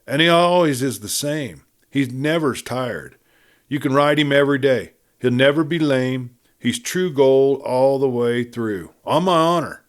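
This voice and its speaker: A man's voice: medium pitch, measured pace, pitched above the performer's own voice, close to an everyday voice. A man voicing an earnest, trusting young woman who speaks frankly and affectionately in a western audiobook. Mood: eager, earnest. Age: young adult. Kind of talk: audiobook character reading of a western.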